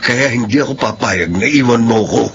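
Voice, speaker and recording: An actor with a Filipino accent playing a possessive, arrogant blackmailer, taunting and domineering, in a radio drama. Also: male voice, medium pitch, a little fast, natural voice. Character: menacing, possessive male blackmailer, selfish and arrogant. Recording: dull, noisy radio sound.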